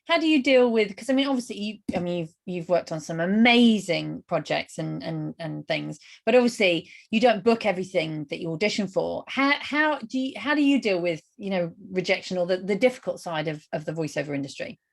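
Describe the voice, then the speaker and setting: A woman's voice: lowish voice, expressive, fairly quick, vocal fry, few pauses. A woman hosting a casual interview, encouraging her guest and repeating words for emphasis. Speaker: webinar host, interviewer.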